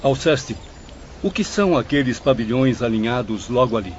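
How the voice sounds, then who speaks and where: Man playing an inquisitive spirit professor, mid-pitched. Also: expressive, moderate pace, natural voice. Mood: astonished, inquisitive. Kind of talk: scripted audio drama. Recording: dull lo-fi audio, faint noisy ambience.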